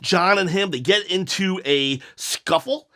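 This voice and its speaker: A man with a raspy, worn-out voice talks through a wrestling review in one unbroken take. His voice sits high and sharp-edged. He rambles into jokes at his own expense, and coughs break in now and then. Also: measured pace. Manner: jokey, self-mocking, rambling asides. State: hoarse, recovering voice, coughing. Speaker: wrestling review channel host.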